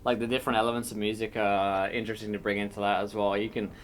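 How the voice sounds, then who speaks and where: A man, the game master, chatting casually and giving roleplay tips, with a relaxed, rambling delivery. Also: lowish voice, fast talker, a little hiss or hum. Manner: relaxed, rambling explanations with many fillers.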